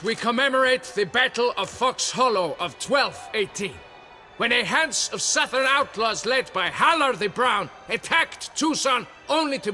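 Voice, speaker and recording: An actor playing a commanding, brisk knight captain in a video game, speaking formally and with authority. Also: male voice, very high voice, bright, forceful tone, exaggerated voice.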